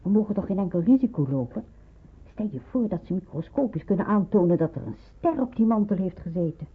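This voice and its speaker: A woman's voice: mid-range voice, expressive, fairly quick, slightly rough, phone-quality sound. An actress playing a motherly, careful resistance helper, caring and matter-of-fact, in an old radio drama recording. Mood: cautious.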